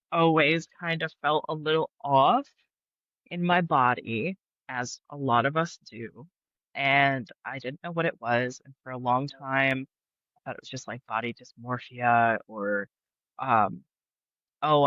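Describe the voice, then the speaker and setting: A man's voice: mid-range voice, no room sound, dull audio. A young man chatting as a podcast guest, laughing often and talking with many fillers. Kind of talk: casual interview chat. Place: Brooklyn.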